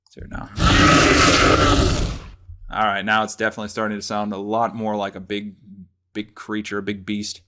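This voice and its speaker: A man presenting a casual software tutorial, explaining step by step in an informal way. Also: on the low side, completely flat delivery, moderate pace, pauses often.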